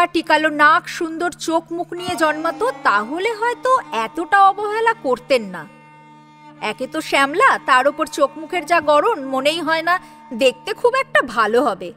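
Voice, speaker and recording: High-voiced woman narrating a serial story. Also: sharp tone. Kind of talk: dramatic audio story narration. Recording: music under the voice. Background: sitar instrumental music.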